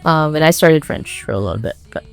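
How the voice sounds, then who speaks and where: A woman's voice: deep voice, huge pitch swings, quick pace, creaky. A woman, an indie singer-songwriter and native speaker of Tagalog and English, chatting casually with self-deprecating humour. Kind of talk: casual interview chat. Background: music.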